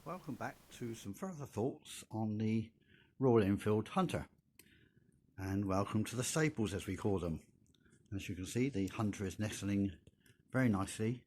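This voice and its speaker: An older man with a croaky, sore-throated voice, talking through a motorcycle video at a measured pace from his garage. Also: fairly low pitch, a little hiss or hum. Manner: measured, gentle, with frequent pauses and fillers. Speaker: motorcycle vlogger. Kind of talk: casual narrated walkaround. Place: indoor garage.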